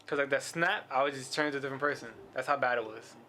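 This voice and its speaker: A man's voice: mid-range voice, racing, bright, forceful tone, a little hiss or hum. A young man, a podcast guest talking casually about his temper and family in short, plain replies. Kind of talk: casual chat with an old friend.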